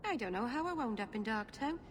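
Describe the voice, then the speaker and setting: A woman's voice: on the high side, animated, fairly quick, lightly acted. An actress playing a sweet, scatterbrained young woman in video game banter, sounding innocent and puzzled. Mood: sheepish, chirpy. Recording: clear background noise.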